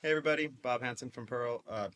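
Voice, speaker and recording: A man's voice: mid-range voice, quick pace, fairly bright tone. A man in his twenties, a podcast guest, talking in an upbeat, rambling way with lots of fillers. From Mississippi. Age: 20s. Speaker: guest, dispensary owner. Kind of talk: casual interview talk.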